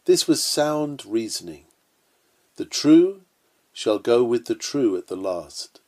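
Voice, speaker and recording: A man's voice: mid-range voice, big pitch swings, takes it slowly, darkish tone. A man reading an old-fashioned sermon aloud in an earnest, oratorical manner. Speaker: sermon reader.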